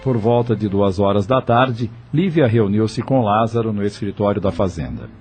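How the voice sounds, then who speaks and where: Low, expressive Brazilian male narrator. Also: normal speed. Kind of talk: scripted audio novel narration. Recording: faint music under the voice. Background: music.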